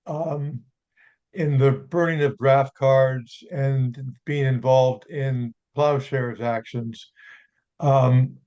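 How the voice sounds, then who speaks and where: A man asks a long question from the conference floor, stopping and restarting often. His voice is mid-pitched, and the recording sounds dull and slightly lo-fi. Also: darkish tone. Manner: halting, many fillers and restarts. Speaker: audience member.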